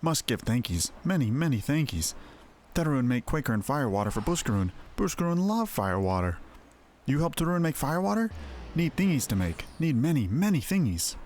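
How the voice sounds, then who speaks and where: A man's voice: medium pitch, big pitch swings, fairly quick, darkish tone, a little hiss or hum, lightly acted. A man voicing a muddled, grateful little beastman trader in a video game, stammering in broken third-person speech. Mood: eager, grateful. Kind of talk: voiced video game dialogue.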